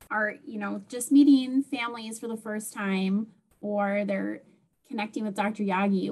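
A young woman with a mid-pitched voice and a touch of vocal fry moderates a panel discussion over a video call. She leads steadily with questions. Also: a little mellow.

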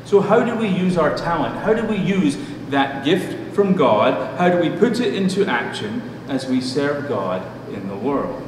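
A man's voice: a little high, big pitch swings, unhurried, few pauses. Middle aged guy pastor giving a sermon, far from the mic, noisy recording. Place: church room at a pulpit. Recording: distant mic, echoey hall, noisy background.

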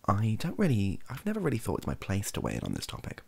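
A young man with a husky, gravelly voice, rambling softly and wryly to camera, often hesitating and correcting himself. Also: on the low side, wildly swooping pitch, fast. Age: young adult. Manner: hesitant, self-correcting, wry. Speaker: ASMR comedy video creator. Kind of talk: improvised soft-spoken rambling to camera. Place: small home bedroom office.

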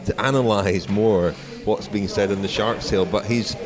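A man's voice: on the low side, fairly even intonation, normal speed. A British man hosting a sports podcast in casual, chatty style. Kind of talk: casual chat. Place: bar of a sports complex. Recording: busy public venue, background chatter.

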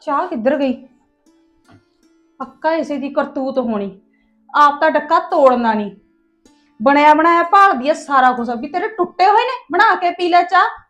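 A woman's voice: high voice, animated, normal speed, pauses often, a bit bright, soft background music. A woman playing an overworked village woman who mutters complaints to herself in an amateur comedy skit. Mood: grumbling. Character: grumbling village woman, overworked and sharp-tongued.

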